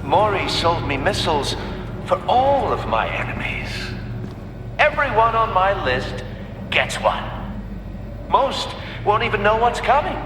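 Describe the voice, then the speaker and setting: Menacing game villain, gloating and theatrical, animated intonation, reverberant with noisy ambience. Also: male voice, sits high, heavily acted. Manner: theatrical, taunting, drawn-out menace. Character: gloating, theatrical criminal mastermind, male villain. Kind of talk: video game voice acting.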